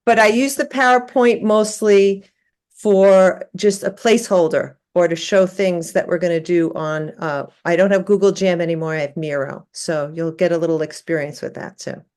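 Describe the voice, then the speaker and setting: A woman teaching an online class, giving a relaxed orientation with many fillers and restarts. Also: fairly low pitch, normal speed. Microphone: video call.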